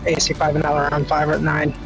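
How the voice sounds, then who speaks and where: A man's voice: on the high side, monotone, fast, over music, poor audio. A man talking casually as a player during a tabletop wargame.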